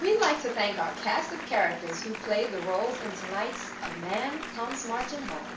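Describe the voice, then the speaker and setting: A woman's voice: a little high, sing-song, moderate pace, a loud crowd. A woman reading scripted closing credits as a radio show announcer, in a formal style. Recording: roomy, distant mic, loud background noise.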